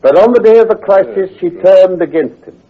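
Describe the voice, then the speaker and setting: An actor playing an assured, precise gentleman detective who explains with authority in a radio drama. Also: high voice, fairly quick, breathy edge. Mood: precise, measured. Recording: tinny, hazy old radio recording.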